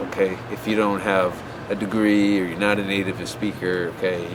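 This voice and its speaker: A man's voice: low-pitched, flat delivery, moderate pace, over music, rough recording. A man giving offhand advice about teaching abroad. He rambles and often restarts with fillers. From USA. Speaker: English teaching advice vlogger. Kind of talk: unscripted advice talk to camera. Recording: outdoor street noise.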